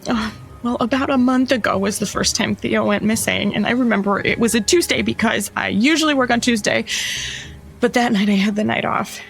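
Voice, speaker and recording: A woman playing a sweet, distraught working mother in an audio drama, speaking in an earnest, halting, fretful way. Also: on the high side, vocal fry. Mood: worried, earnest. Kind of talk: immersive audio drama acting.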